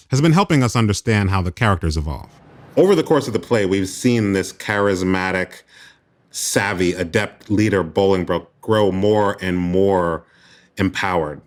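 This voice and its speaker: A man hosting a radio program, mixing scripted narration with a conversational delivery. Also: on the low side. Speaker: radio host.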